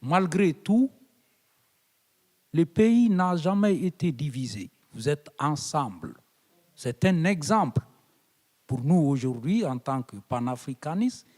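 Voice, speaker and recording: A man from Guinea addresses a conference in a deliberate, unhurried way. His fairly high voice swells into emphatic, oratorical appeals. The sound carries a long reverb from the hall. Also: lively intonation, darkish tone. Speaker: invited guest speaker. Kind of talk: conference speech.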